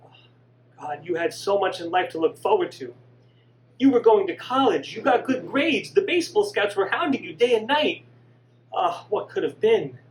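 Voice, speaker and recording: A man voicing a grieving middle-aged mother, emphatic and sharp when scolding. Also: high-pitched, lively intonation, normal speed, reverberant, pitched above the performer's own voice. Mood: tearful. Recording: distant mic with faint hum.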